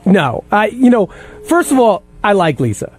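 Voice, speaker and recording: Male, late thirties, high voice, very lively intonation, blunt, emphatic, casual radio panel chat. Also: moderate pace, slightly rough. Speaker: radio show staff producer, guest.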